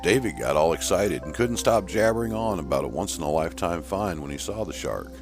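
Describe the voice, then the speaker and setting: A man's voice: sits low, lively intonation, brisk, music in the background. A man voicing an earnest male scientist who recounts events emphatically in an audio horror story. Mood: earnest, emphatic. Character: male shark researcher earnestly recounting an attack.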